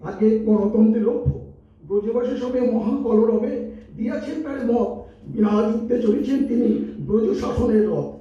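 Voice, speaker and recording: A man's voice: very high-pitched, moderate pace, mellow. A man playing a humble, wily wandering minstrel, pleading theatrically in rhyming verse in a stage audio drama. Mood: theatrical reporting. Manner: theatrical, supplicating. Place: multipurpose hall stage, live performance. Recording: echoey hall, distant muffled mic, background noise.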